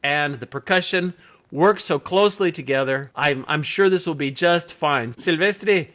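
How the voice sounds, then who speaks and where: A man's voice: fairly high pitch, measured pace, a bit bright. A man hosting a friendly, enthusiastic interview over a video call. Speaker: interviewer for a bass magazine. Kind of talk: casual remote interview.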